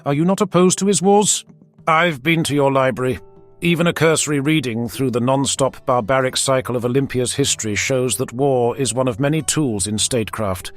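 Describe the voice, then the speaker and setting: A man's voice: mid-range voice, normal speed, soft background music, pitched above the performer's own voice, close to an everyday voice. A man voicing a stern, cold warrior-genius who speaks bluntly and directly. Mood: forceful.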